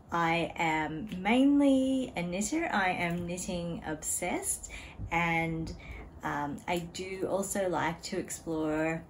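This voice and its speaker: Slow-talking woman knitting vlogger. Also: fairly low pitch, a little hiss or hum, low-quality recording. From Australia. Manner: relaxed, chatty, frequent ums and small laughs. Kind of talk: casual chat to camera. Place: home room beside a desk.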